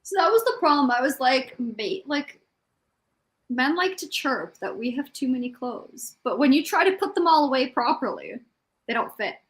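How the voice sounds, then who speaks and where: A woman with a fairly high voice that swings widely up and down. She chats informally, with an exasperated, self-mocking edge. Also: lively intonation, moderate pace. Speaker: participant in a group reading livestream. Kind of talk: casual chat.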